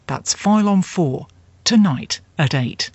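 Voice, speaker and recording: A woman's voice: lowish voice, lively intonation, measured pace, a little mellow, breathy edge, no room sound, lo-fi sound. A woman presenting a scripted radio programme trailer.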